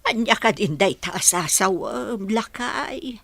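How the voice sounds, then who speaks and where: Casting: actress as worried old wife, husky, unhurried, very expressive pitch movement. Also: female voice, no room sound, heavily acted. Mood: concerned. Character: concerned elderly wife consoling her husband. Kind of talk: Filipino radio-style drama acting.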